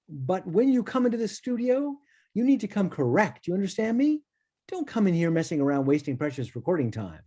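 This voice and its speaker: Man acting a blunt, animated studio boss. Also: high voice. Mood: stern, rousing. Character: Mister Lawrence, blunt, exacting, encouraging male music studio boss. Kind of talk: table read of a play. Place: online video call.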